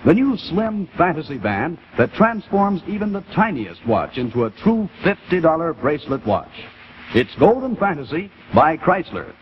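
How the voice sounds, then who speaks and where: A middle-aged American man giving a polished, deliberate scripted sales pitch as a 1950s television announcer. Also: big pitch swings. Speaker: commercial announcer. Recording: muffled 1950s television broadcast with faint noise.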